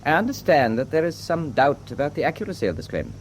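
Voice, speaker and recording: An actor playing a suavely sceptical Nazi ministry official in a radio drama, precise, polite and insinuating. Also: male voice, on the high side, big pitch swings, quick pace, a little hiss or hum. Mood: polite, probing.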